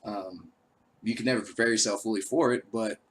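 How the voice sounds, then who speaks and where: A man who is an army veteran hosting his own show, talking casually and rambling with many fillers, his voice raspy from a cold. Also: lowish voice, steady tone, a little fast. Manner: laid-back, rambling, frequent uh fillers. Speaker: show host. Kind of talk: casual live-stream talk.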